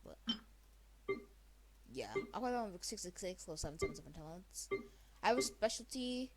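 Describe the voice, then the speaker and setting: A woman's voice: mid-range voice, animated, moderate pace, over a noisy crowd. A young woman giving casual gameplay commentary, slangy and rambling as she thinks aloud. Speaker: gaming video creator. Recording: loud game music behind voice.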